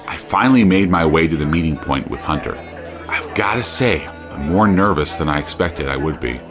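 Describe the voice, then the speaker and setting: An actor playing an earnest, probing true-crime podcast host, narrating and interviewing in a measured, serious way. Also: male voice, a bass voice, expressive, over music. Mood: uneasy, measured.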